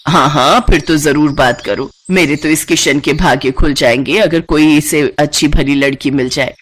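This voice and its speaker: Woman voicing a low-pitched, worried village mother-in-law. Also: moderate pace, a bit bright, husky. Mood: hopeful. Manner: admonishing, pleading. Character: weary, scolding middle-aged village mother-in-law.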